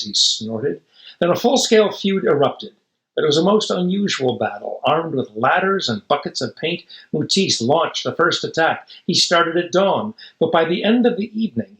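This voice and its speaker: A man's voice: fairly high pitch, a bit bright, reverberant, poor audio. A man reading a children's picture book aloud expressively, with dramatic emphasis and casual asides. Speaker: storybook reader.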